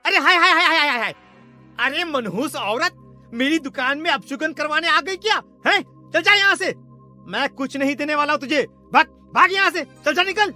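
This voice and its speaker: A woman's voice: high voice, expressive, normal speed, bright, forceful tone, faint music behind, voice pushed up, exaggerated voice. A woman voicing an angry male village shopkeeper who screeches and scolds. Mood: angry shouting. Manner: screeching, scolding, chasing someone off. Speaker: narrator voicing characters.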